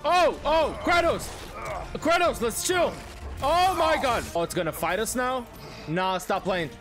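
A man reacts to a video game in a very high-pitched, wildly swinging voice. He is excitable, repeats short phrases over and over and tends to shout. Loud music plays behind him. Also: measured pace. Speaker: game streamer and video creator. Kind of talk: excited gameplay reaction commentary. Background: video game music and sound.

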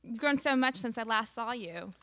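A woman's voice: fairly high pitch, animated, normal speed, fairly bright tone. A young woman playing a gushing, over-sweet aunt in a radio play. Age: young adult. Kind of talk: live radio theater acting, scripted. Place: small studio, seated at table with others. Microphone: stand microphone up close.

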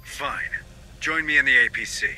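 An actor playing a gruff, embittered marine leader in a video game, curt and commanding. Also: male voice, medium pitch, normal speed, sharp tone, faint music behind, low-quality recording. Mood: wary, hostile. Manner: curt, hard-edged, commanding. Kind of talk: cinematic game acting.

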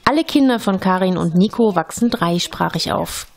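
A woman reading scripted documentary narration in a clear, even delivery. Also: on the low side, moderate pace, crowd noise.

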